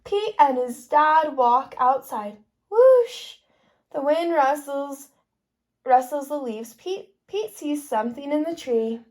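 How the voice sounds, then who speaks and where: A woman teaching kindergarten through an interactive picture-book read-aloud, expressive, pausing to ask questions and explain. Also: sits high.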